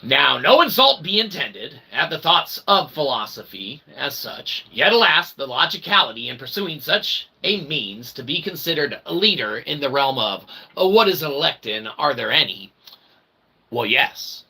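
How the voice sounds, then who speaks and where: A man hosting a philosophy show with a rambling monologue, wordy and mock-formal, in a gravelly voice with pompous archaic phrasing. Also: medium pitch, sing-song, moderate pace, pushed and bright. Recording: clearly noisy recording.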